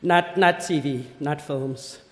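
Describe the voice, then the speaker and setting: A woman in her forties from Denmark giving a conference talk, lively, with joking asides and rhetorical questions. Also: deep voice, slow. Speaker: festival head giving a seminar talk. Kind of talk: conference presentation. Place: seminar hall. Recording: echoey hall with long reverb.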